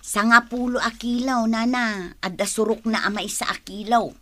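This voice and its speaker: A woman playing a brisk, shrewd neighbourhood fruit seller with a Filipino accent in a comedy radio drama. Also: a little high, fairly even intonation, pushed and bright. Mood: polite, friendly. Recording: faintly noisy radio drama recording.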